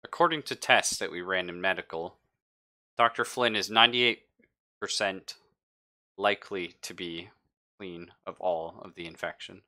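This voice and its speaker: A man voicing a guarded female ship android in a tabletop game, formal and halting, reporting in a measured, clinical way. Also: deep voice, sharp tone, full character voice.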